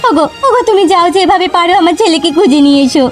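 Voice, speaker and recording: Cartoon casting: emotional village wife and mother female performer, rapid, high-pitched, urgent. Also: faint music behind. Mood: worried, frantic. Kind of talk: cartoon dub acting.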